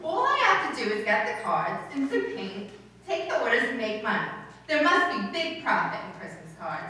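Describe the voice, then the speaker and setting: A woman's voice: a little high, big pitch swings, normal speed, sharp tone. A woman playing a scatterbrained, chatty, blithely confident wife in an old-time radio comedy, on stage in a hall. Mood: excited, scheming. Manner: ditzy, emphatic, breezy malapropisms. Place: live stage in an indoor hall, distant camera. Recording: echoey hall, far from microphone.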